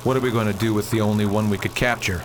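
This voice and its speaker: A man playing a steady, practical crewman in a space opera radio drama, speaking plainly. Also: on the low side, fast, background noise, natural voice. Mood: practical, concerned.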